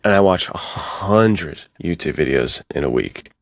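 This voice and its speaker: A man's voice: deep voice, lively intonation, a little slow, no room sound, clean studio sound. A man talking casually as a podcast co-host, slangy and self-deprecating. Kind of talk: casual chat.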